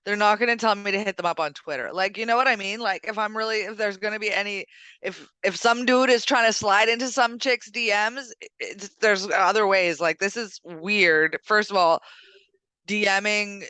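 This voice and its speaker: A woman speaks with a bright, very clean voice in a dry, close sound. She is a co-host chatting casually, opinionated and emphatic, building her case one point at a time. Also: medium pitch, normal speed, clean tone, no room sound. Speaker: co-host of a weekend current affairs show.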